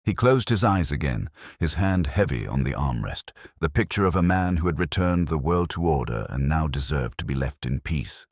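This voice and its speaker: A man narrating a detective story in the first person, with a gravelly voice and dry, hard-boiled delivery. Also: a bass voice, animated, moderate pace, hardly any pauses, dry recording. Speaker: audiobook narrator.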